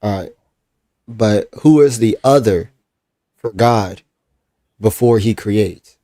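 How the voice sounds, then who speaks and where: A man's voice: fairly low pitch, slow pace, mellow, no room sound. A man making the case for his faith in a live online debate, explaining step by step and checking in with "right?".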